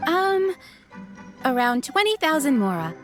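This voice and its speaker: Very high, lively waitress. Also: female voice, measured pace, faint music behind, heavily acted. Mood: obliging. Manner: courteous, customer-service politeness. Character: polite, eager young female restaurant server. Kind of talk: anime video game voice acting.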